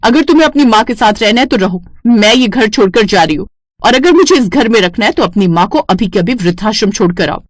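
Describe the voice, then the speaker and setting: A woman's voice: medium pitch, expressive, fairly quick, dry recording, clean studio sound, close to an everyday voice. A woman voicing a self-assured, two-faced young neighbour wife in a cartoon family story. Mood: angry, demanding.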